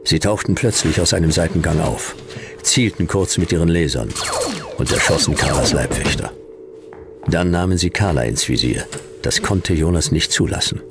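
An actor playing a laconic, weary private detective, narrating and talking in a dry, deadpan way in a radio play. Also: male voice, low-pitched, normal speed, background noise. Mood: tense narration.

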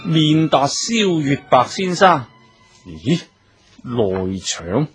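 An actor playing an anxious, easily flustered wealthy husband in a Cantonese radio drama, agitated and given to sighing and stammering. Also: male voice, fairly high pitch, big pitch swings, drawn-out words, over music, heavily acted. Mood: indignant.

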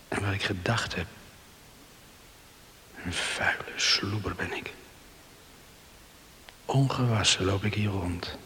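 A man's voice: low-pitched, bright, forceful tone, full character voice. A man acting a remorseful islander in a Dutch radio play, brooding aloud in self-reproach, on a hissy recording with heavy reverberation.

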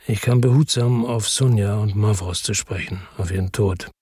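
Radio crime drama lead: weary, determined man, heavily rasped lowish voice, brooding, measured delivery, dry studio sound. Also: darkish tone, no room sound. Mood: grave, measured. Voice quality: husky, rough. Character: Fabio, weary determined Marseille man, crime story narrator. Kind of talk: German radio crime drama, first-person narration and dialogue.

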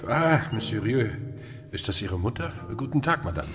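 An actor playing a youngish, heavyset, curious neighbour in a radio drama, courteous and musing. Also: male voice, medium pitch, big pitch swings, normal speed, a bit bright, big-room sound, faint music behind. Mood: curious, affable.

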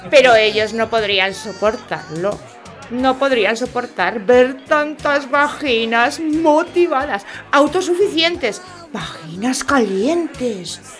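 Outspoken, giggly woman in a bawdy comic audio play, played by an actress: high, slow-paced, exclaiming, over light music. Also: expressive. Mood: indignant, teasing. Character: excitable, outspoken woman at a bawdy women's gathering. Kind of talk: comic ensemble audio theatre, chatty banter.

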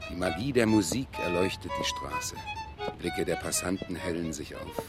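A man's voice: low-pitched, expressive, moderate pace. An actor playing an earnest, dreamy, easily flustered male music researcher in a radio play, speaking very expressively. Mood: rapt, poetic.

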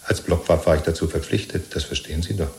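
Male actor as servile party loyalist, deep voice, rambling, chummy, anxious self-justification, radio play. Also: quick pace, a little mellow, natural voice. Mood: self-justifying. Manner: rambling, ingratiating, chummy, seeking reassurance. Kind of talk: 1970s German radio play acting.

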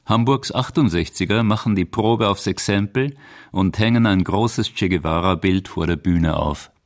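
A man's voice: sits low, normal speed. A man delivering scripted documentary narration for a radio feature.

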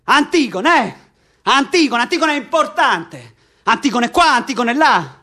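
An actor playing a stubborn, hot-headed, foul-mouthed political prisoner in a theatrical radio drama, speaking vehemently and repeating himself. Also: male voice, very high-pitched, sing-song, unhurried, pushed and bright, exaggerated voice. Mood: mocking.

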